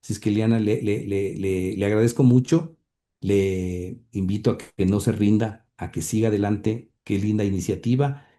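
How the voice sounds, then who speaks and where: Man, low dark mellow voice; earnest, hesitant interviewee with lots of fillers. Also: fairly even intonation. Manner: halting, many fillers and repeated words; earnest, heartfelt. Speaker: interview guest, grandson and author. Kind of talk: conversational interview, reading quotes aloud.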